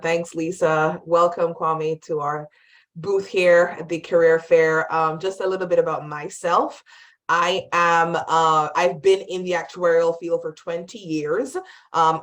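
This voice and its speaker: A woman's voice: low-pitched, a bit bright. A woman in her forties from Jamaica with a Jamaican accent, introducing herself as an actuarial vice president on an online career fair panel in a friendly, conversational way.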